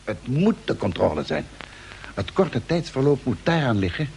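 An actor playing an excitable, skeptical spaceship crewman in a vintage radio drama, exclaiming and reasoning quickly. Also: medium pitch, vocal fry. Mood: arguing. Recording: noisy vintage radio recording.

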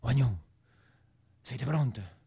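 Man acting a bandit chief, stern, rousing, dramatic stage delivery, lowered voice, southern Italian accent. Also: animated, extremely slow, gravelly, warm tone, breathy edge, exaggerated voice. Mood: commanding. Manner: commanding, solemn, urgent. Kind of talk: one-man theatrical monologue.

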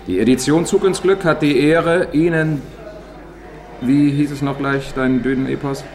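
An actor playing a pompous, blunt middle-aged publishing boss in a radio play. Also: male voice, fairly high pitch, steady tone, a little slow, a little mellow. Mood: grandly opinionated. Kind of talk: German radio play dialogue. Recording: music behind the voice. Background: music.

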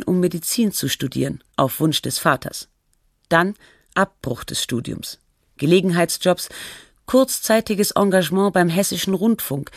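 A performer playing a sober radio documentary narrator, reading a scripted feature in a measured, factual, reflective way. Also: mid-range voice, no echo, studio quality, close to an everyday voice. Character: sober documentary narrator telling an artist's life.

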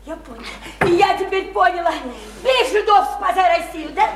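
A shrill, teasing, coaxing provincial girl. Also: lively intonation, crowd noise. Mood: teasing, giddy. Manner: exclamatory, swooping, drawn-out cajoling. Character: teasing, coaxing provincial woman begging for love talk. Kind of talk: theatrical radio play acting. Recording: echoey, noisy radio play recording.

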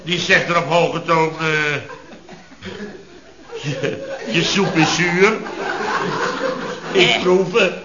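A man's voice: on the high side, steady tone, drawn-out words, pauses often, a crowd in the background. An actor in a radio comedy playing an exasperated chef, blustering and indignantly repeating himself as he tells of disasters. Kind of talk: radio comedy acting before an audience. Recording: echoey dull old radio recording, audience noise.

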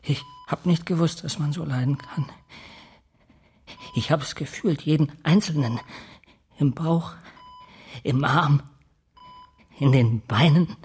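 An actor playing a fearful, tormented male patient in a radio drama, husky and halting, with an anguished manner. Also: fairly high pitch, darkish tone, full character voice.

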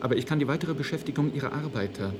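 An actor in a German radio play, playing an earnest company doctor who is formal, insistent and indignant. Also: male voice, mid-range voice, animated, racing, noisy background, lightly acted. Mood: worried, insistent.

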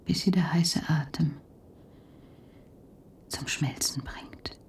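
An actress playing an eerie figure who recites bleak, bitter poetry in a breathy whisper in a radio play. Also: female voice, deep voice, steady tone, slow, a little mellow, recorded from a distance. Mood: bleak, brooding. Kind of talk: slow poetic radio drama recitation.

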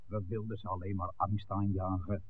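An actor playing a gruff, defiant villager in a 1960s radio drama, blunt and grumbling but grudgingly respectful. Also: male voice, deep voice, level tone, moderate pace, natural voice. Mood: defensive. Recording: muffled, noisy old radio recording.

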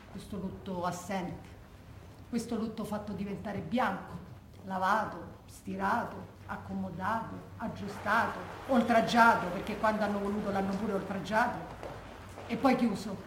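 A woman's voice: mid-range voice, steady tone, moderate pace, natural voice. Actress as bitter grieving woman, echoey stage monologue. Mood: bitter, resigned. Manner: insistent listing of short phrases. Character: grieving, bitter woman looking back on denied mourning. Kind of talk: theatrical monologue from oral testimony. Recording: echoey room with background noise.

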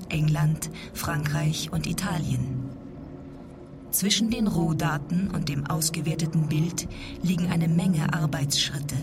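Woman, low voice, scripted documentary narration, factual and orderly, music behind.

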